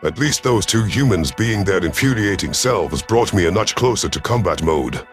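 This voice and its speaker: Low-voiced solemn male story narrator. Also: lively intonation, normal speed, soft background music. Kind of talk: scripted first-person sci-fi narration.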